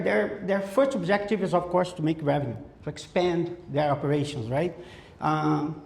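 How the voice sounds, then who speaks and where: A man's voice: a little high, gravelly, a little mellow. Brazilian guy presenting at a conference, stumbles and restarts a lot, echoey hall. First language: Portuguese. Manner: halting, many fillers and restarts. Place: conference room. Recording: echoey room.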